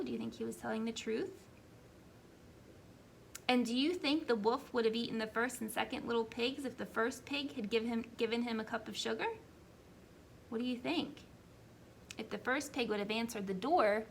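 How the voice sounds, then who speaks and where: A woman's voice: fairly high pitch, vocal fry, a little hiss or hum. A woman preschool teacher explaining a story simply and prompting young children with questions during a read-aloud.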